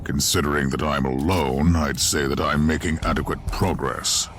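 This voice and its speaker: An actor playing a stern, haughty imperial inquisitor in a video game, speaking in a measured, dry, commanding way. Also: male voice, very deep voice, steady tone, heavy background noise. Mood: cool, measured. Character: stern, haughty male imperial inquisitor, cool-headed investigator. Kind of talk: dramatic video game voice acting.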